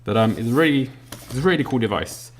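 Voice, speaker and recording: A man's voice: mid-range voice, expressive, fairly quick, a little hiss or hum. A man walking through an unboxing, explaining a gadget casually with many ums and uhs while he handles it. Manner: demonstrating a gadget while talking. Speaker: product reviewer. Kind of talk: unboxing walkthrough.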